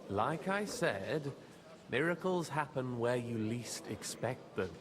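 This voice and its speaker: Confident formal lord in a game, slow but expressive, crowd noise behind. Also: male voice, medium pitch. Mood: calm, expectant. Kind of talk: dramatic scripted game acting.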